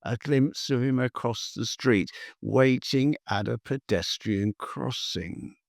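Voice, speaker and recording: A man reading his own literary prose for a podcast, measured and deliberate, leaving long pauses between phrases. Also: medium pitch, creaky, no echo, clean studio sound.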